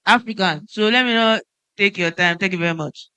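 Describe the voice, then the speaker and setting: A woman's voice: medium pitch, a little fast, sharp tone, smooth, no room sound. A woman from Nigeria, a musician on a conference panel, speaking passionately and with emphasis. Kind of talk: panel discussion remarks. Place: conference stage.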